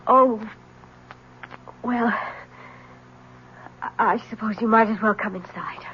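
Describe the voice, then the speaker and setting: A frightened secretive wife pleading. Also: female voice, fairly high pitch, slow, slight background noise. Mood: nervous. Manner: pleading, anxious. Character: frightened secretive young married woman. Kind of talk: 1970s radio drama acting. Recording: dull lo-fi 1970s radio broadcast.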